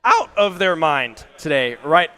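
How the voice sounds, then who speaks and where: A young man casting an esports match, hoarse from losing his voice, talking quickly and leaning on "I mean" and "right?". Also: a little high, big pitch swings, bright, forceful tone. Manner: fast, hedging with "I mean" and "right?". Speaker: esports caster. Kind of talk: play-by-play commentary. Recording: faint game music behind.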